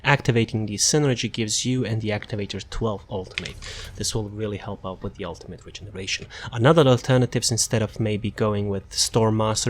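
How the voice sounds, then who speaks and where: Low-voiced man giving casual game commentary. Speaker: gamer presenting a character build guide. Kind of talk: casual gameplay guide commentary.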